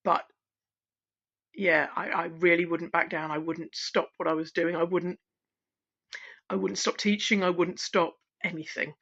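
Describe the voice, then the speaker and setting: Husky woman musing on her voice. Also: on the low side, fairly even intonation, a bit bright. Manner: candid, reflective, with frequent restarts and pauses. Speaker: singing and voice teacher. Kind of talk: unscripted personal vlog. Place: at home, wrapped in a blanket. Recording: dull, lo-fi sound.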